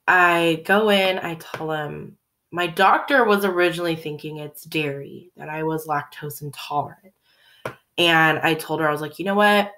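A young woman, hoarse from a cold, chatting in a rambling, self-correcting way to a home webcam in her living room. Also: lowish voice, fairly bright tone. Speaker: vlogger. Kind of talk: casual personal catch-up vlog. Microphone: webcam at desk.

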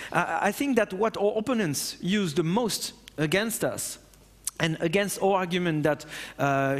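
A man's voice: high voice, long reverb tail. Passionate French man on stage. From France. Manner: passionate, blunt, with casual swearing. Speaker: conference speaker. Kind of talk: Q&A after a talk. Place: large dark auditorium on stage.

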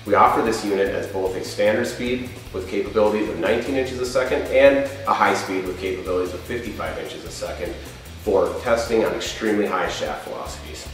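A man's voice: low voice, a bit bright. A middle-aged man giving a product presentation and demonstration as a company presenter. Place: indoor workshop showroom. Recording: roomy echo, faint music behind.

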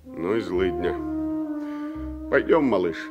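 An actor playing a kindly, jaunty tramp in a vintage radio drama. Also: male voice, deep voice, lively intonation, slow, dull audio, over loud music. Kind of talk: 1960s radio drama acting.

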